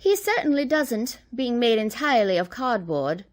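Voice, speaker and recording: A woman's voice: fairly high pitch, very animated, normal speed. A woman in a radio play acting as a bloodthirsty, imperious Queen with a commanding manner. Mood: haughty.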